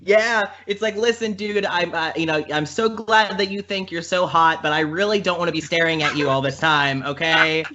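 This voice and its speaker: A man chatting casually as a podcast guest, animated and opinionated, with halting restarts. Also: fairly high pitch, muffled recording, noisy background. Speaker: podcast guest, audio drama voice actor. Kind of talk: casual interview chat.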